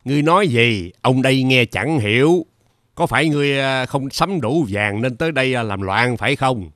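A man playing a greedy, scheming rich old father in an animated fairy tale, pompous and drawling with hesitant filler sounds. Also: mid-range voice, normal speed, no echo, clean studio sound, lightly acted. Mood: angry, domineering. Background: background music.

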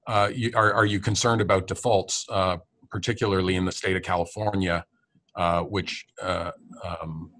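A man's voice: low voice, steady tone, moderate pace, fairly bright tone. An older man moderating a conversation in a conference room, reading out questions with many hesitations and fillers. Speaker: interviewer, dean and professor.

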